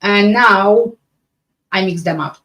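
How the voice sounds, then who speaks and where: A woman from Romania with a Romanian accent chats casually as a livestream host, answering viewers in a halting way that often repeats and rephrases. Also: mid-range voice, a bit bright.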